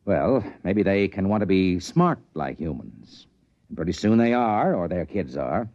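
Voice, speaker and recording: A man's voice: deep voice, very animated, measured pace, dry recording, heavily acted. An American actor playing a shrewd, confident, wry trading-post man in a 1950s radio drama. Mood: scheming, wry. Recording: dull vintage radio recording.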